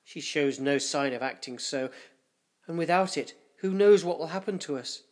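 A man voicing worried old councillors of a dying hive, debating in a fretful, hushed way. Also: fairly high pitch, moderate pace, lightly acted. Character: anxious, whispering councillors of a fading hive.

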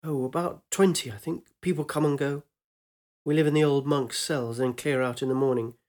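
A man's voice: medium pitch, steady tone, moderate pace, darkish tone, close to an everyday voice. A man voicing a bold, reckless young woman surviving in a ruined city, playful and pushy in a spoken story. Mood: chatty.

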